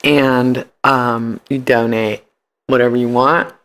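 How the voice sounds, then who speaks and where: Animated American storyteller in a podcast interview. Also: mid-range voice, takes it slowly. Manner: animated, colloquial, acts out quoted speech. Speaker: podcast guest, founder of a ride service.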